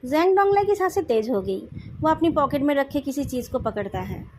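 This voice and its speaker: A woman's voice: high voice, animated, fairly quick, darkish tone, a little hiss or hum. A woman with an Indian accent reading out scripted narration as the storyteller of a novel. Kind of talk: scripted narration of a novel, retold with acted dialogue.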